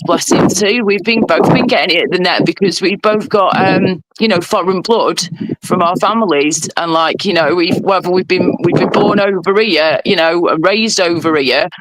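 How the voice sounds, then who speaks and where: A man's voice: sits high, moderate pace, background noise. A man with a Mancunian accent chatting casually and at length on a livestream, rambling and sweary. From Manchester, England. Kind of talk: casual chat.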